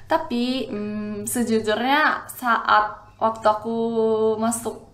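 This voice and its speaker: A woman's voice: fairly high pitch, fairly even intonation, takes it slowly, fairly bright tone, echoey room. A woman in her twenties from South Korea, a native Korean speaker with a Korean accent, explaining things casually as a student vlogger. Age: 20s. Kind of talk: casual explanatory vlog talk.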